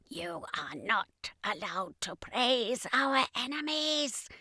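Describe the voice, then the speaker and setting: A woman playing a stern, defiant octopus-like alien second-in-command with a slow, scolding delivery, in a story. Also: fairly high pitch, big pitch swings, pushed and bright, no echo, studio quality, voice pushed up, heavily acted. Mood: angry.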